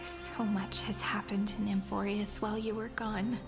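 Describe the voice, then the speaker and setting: A woman voicing a gentle young storyteller and guide in a video game, with soft, lyrical, reassuring phrasing. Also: mid-range voice, little pitch movement, normal speed, creaky, natural voice. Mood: tender, reassuring. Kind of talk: scripted game story narration. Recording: game music under the voice.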